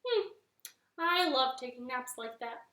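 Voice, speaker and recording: A woman voicing a sleepy, contented male frog in a storybook tale, drawing out each word slowly. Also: sits high, animated, sharp tone, reverberant, pitched above the performer's own voice, heavily acted. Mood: drowsy. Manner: slow, drawn-out words. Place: living room.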